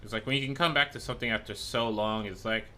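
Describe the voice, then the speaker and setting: A man's voice: lowish voice, fast, pushed and bright. A man streaming a game in a loose, rambling chat who swears freely, and who is losing his voice. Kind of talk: casual gameplay chat.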